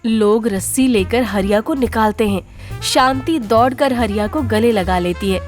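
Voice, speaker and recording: Female storyteller, high voice, scripted narration over a faint music bed. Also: a little slow, a little mellow.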